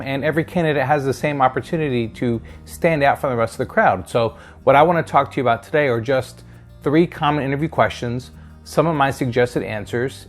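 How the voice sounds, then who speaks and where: A middle-aged man presenting instructional advice straight to viewers, explaining step by step and checking in with short tag questions. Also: medium pitch. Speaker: career advice video host, sales hiring manager.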